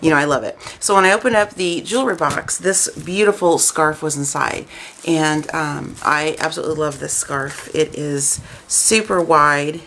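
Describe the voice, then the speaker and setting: A woman in her thirties or forties, hoarse and stuffy with a cold, chatting casually and rambling about crafts at home. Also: deep voice, moderate pace, fairly bright tone, slight background noise. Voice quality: raspy. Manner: chatty, rambling, lots of "um" fillers. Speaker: crafting vlogger. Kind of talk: casual chat to camera.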